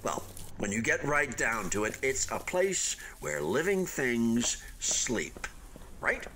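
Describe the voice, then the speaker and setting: An actor in a radio play voicing an abandoned, unfinished hotel building, wistful and defensive, chatting in hesitant, earnest philosophical musings. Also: male voice, medium pitch, very animated, slow pace, bright, forceful tone, slight background noise, full character voice. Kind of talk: radio play acting, conversational monologue.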